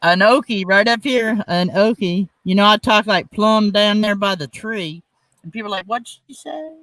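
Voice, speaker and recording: A woman's voice: medium pitch, normal speed, fairly bright tone, dry recording, distant mic, rough recording. A woman with an Oklahoma accent, chatting and joking as host of a casual interview.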